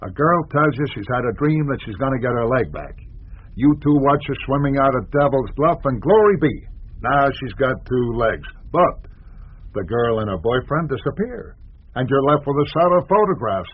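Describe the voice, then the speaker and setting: An actor playing a skeptical, cautious American newspaper boss in a radio drama, husky and authoritative. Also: male voice, medium pitch, lively intonation. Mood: skeptical, firm. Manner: measured, authoritative. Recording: tinny, narrow 1980s radio recording.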